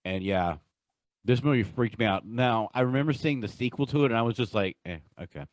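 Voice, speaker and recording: A man co-hosting a podcast in casual, enthusiastic chat, with a halting way of talking. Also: medium pitch, no echo. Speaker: podcast co-host. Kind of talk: casual chat, countdown commentary.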